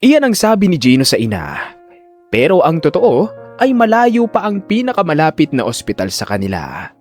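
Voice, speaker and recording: A man's voice: on the high side, wildly swooping pitch, normal speed, a little mellow, soft background music. A man giving dramatic scripted narration of a story.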